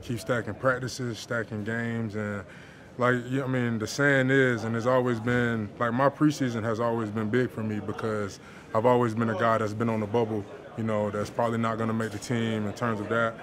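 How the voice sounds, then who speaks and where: A young man, a football player, answering reporters in a locker room after a game in a relaxed, easygoing way. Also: mid-range voice, moderate pace. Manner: relaxed, laid-back, with filler words and light laughs. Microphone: handheld reporter microphones. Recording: busy locker room crowd noise. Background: locker room chatter.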